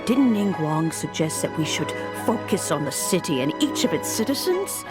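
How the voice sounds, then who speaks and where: Lively, low-voiced motherly woman. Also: moderate pace. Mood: placating. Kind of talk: English video game voice acting. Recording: music playing behind the voice.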